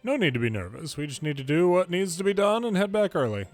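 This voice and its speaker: A man's voice: sits high, big pitch swings, fast, a little mellow, soft background music. A man reading video game story dialogue aloud in English, lightly acting out the different characters. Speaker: fan reader of game dialogue.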